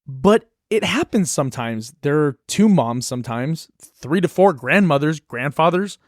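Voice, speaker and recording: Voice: medium pitch, animated, a little slow, no echo, clean studio sound, lightly acted. An actor in a musical puppet show voicing an anxious, earnest children's book that pleads its case expressively. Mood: pleading, indignant. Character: earnest, anxious award-winning children's book facing a ban.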